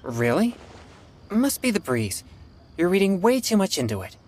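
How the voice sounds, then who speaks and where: A woman voicing a calm, polite, reserved teenage boy in a video game, with measured delivery. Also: on the low side, slight background noise. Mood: dismissive. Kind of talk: animated video game character acting.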